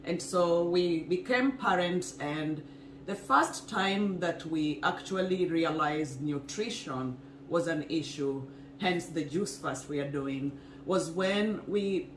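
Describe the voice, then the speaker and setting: A woman from Kenya talks at a steady, earnest pace about her own life. She sits in an echoey home kitchen, with a faint hiss under the voice. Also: deep voice, slow. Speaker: co-host, wellness couple. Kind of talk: casual personal story to camera. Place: home kitchen, indoors, small room. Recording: echoey room, faint noise.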